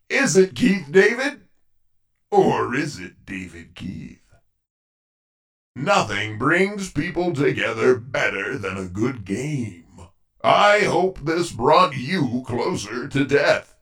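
A man's voice: medium pitch, distant mic, heavily acted. A man playing a pompous, foul-mouthed, easily exasperated podcast announcer in a comedy sketch, with theatrical delivery. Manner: theatrical, swinging from slow grand delivery to shouting.

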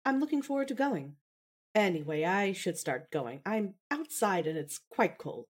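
A woman's voice: medium pitch, very animated, moderate pace, husky. A woman playing a composed, articulate adult daughter, reserved but fond, in a fan fiction audio drama. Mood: fond, restrained.

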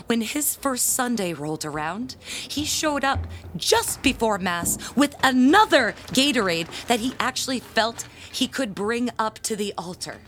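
A woman's voice: on the high side, animated, a little slow, fairly bright tone, a little hiss or hum. A woman acting in an audio drama as an aggrieved, pompous church handbell ringer, speaking in a theatrical, indignant manner. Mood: disgusted, aggrieved. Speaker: parishioner in confession.

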